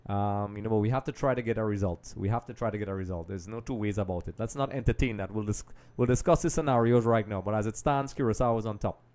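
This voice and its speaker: A man's voice: lowish voice, quick pace, few pauses, darkish tone. Chatty man hosting a live stream. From Trinidad and Tobago. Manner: chatty and analytical, often says "um" and addresses "folks". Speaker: livestream host. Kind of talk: live football watch-along commentary and chat reading.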